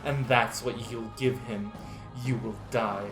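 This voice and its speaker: Vengeful slasher girl, played by an amateur reader: deliberate, threatening, mid-pitched, with music behind. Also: fairly even intonation, a bit bright, reverberant, rough recording. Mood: vengeful rage. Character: vengeful young female slasher, menacing werewolf-pack killer.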